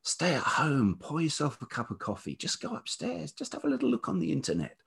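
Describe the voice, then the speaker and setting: A middle-aged British man with a velvety voice, talking reflectively and haltingly as an interview guest, often circling back on his thoughts. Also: fairly high pitch, wildly swooping pitch, creaky, a bit bright, breathy edge. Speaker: podcast guest, writer and radio producer.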